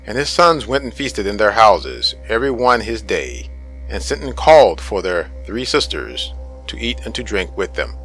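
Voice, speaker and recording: A man delivers a dramatic scripture narration. His voice is on the low side, and faint music plays behind him. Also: expressive, normal speed, a bit bright, rough recording.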